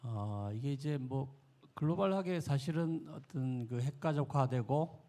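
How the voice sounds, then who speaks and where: A man from the audience asks a long, polite question at a very rapid clip, pausing now and then to find the right words. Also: medium pitch, animated, dark tone. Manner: polite, searching. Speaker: audience member asking a question. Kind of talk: question from the floor.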